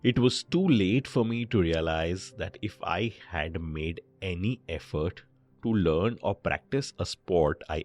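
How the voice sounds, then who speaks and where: A man narrating a scripted bedtime story in short, measured phrases with pauses between them. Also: low voice.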